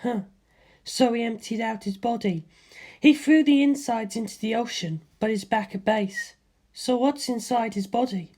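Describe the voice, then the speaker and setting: A commanding warrior woman, brisk and analytical, her voice pitched up above a plain reading voice, as a woman narrator puts her on. She talks through danger like a strategist in a storybook reading. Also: a little mellow, natural voice.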